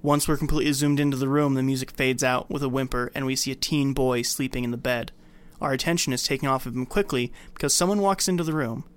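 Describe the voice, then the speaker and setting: A man's voice: medium pitch, steady tone, fast, clean tone. A man hosting a podcast, giving a scripted film recap with casual commentary. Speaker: podcast host.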